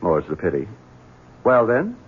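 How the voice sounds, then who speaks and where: Through tinny old radio sound comes a low, rough-edged gentleman of the manor. He is resentful and imperious, snapping curtly or stammering when cornered, his pitch lurching about. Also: huge pitch swings, a little slow, exaggerated voice. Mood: curt, bitter. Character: jumpy, resentful master of a Southern manor, mother-hater. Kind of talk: 1970s radio drama acting. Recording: tinny narrow-band old radio recording.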